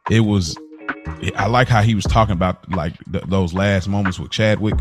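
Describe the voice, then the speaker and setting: A man's voice: deep voice, normal speed, music in the background. An American man co-hosting a podcast in casual, slangy chat, often circling back and rephrasing as he goes. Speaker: podcast co-host.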